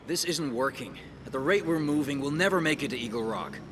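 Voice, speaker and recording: An actor playing a calm, determined resistance soldier leader in a video game, terse and matter-of-fact. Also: male voice, fairly high pitch, fairly quick, background noise, lightly acted. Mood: firm, explaining. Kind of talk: video game cutscene acting.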